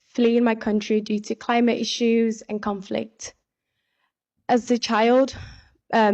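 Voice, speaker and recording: A young woman from Somalia giving an earnest, measured speech as a pageant contestant from a podium microphone in a large hall. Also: fairly high pitch, darkish tone, lo-fi sound. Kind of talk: prepared stage speech. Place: large indoor hall on stage. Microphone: podium microphone on stand.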